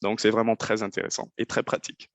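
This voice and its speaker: A man's voice: fairly low pitch, level tone, fast talker, no echo. A man with a Nigerien accent giving earnest advice in a conversational talk, often repeating words as he searches for the next one. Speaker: guest speaker.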